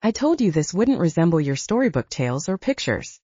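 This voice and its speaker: A woman's voice: on the low side, very animated, fairly quick, mellow, breathy edge, dry recording. A woman voicing an intense, earnest adult male werewolf with formal phrasing in an audiobook story. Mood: earnest, awed. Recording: dull lo-fi audiobook recording.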